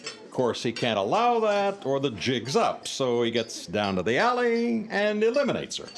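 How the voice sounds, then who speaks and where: A man's voice: medium pitch, huge pitch swings, normal speed. An actor playing a folksy, slangy male police inspector who talks in a colloquial, blustery way in a live mystery drama. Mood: eager explaining. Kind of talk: live radio-style mystery drama performance. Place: public library live stage before an audience.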